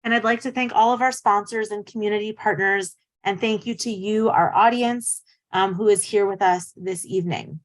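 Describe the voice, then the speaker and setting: A woman's voice: medium pitch, fairly even intonation, normal speed, no room sound. A woman hosting a virtual event, reading welcomes and thanks in a friendly way over a video call. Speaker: event host.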